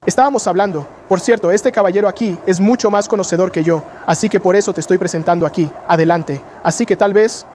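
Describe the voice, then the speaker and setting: A man's voice: high voice, fast, hardly any pauses, darkish tone, a little hiss or hum. A man acting as host, welcoming a visitor and introducing people to one another in a casual chat, often restarting his phrases. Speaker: local host introducing guests.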